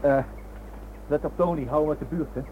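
A man's voice: a little high, brisk, natural voice. A man acting an anxious character in a radio thriller. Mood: urgent, cautious. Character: anxious man, shocked at a grim discovery. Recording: very noisy, muffled radio recording.

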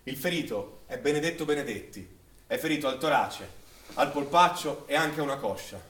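A man's voice: mid-range voice, expressive, normal speed, a bit bright, close to an everyday voice. A man, a stage actor, narrating dramatically in a declamatory, solemn manner. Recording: echoey room with audience noise.

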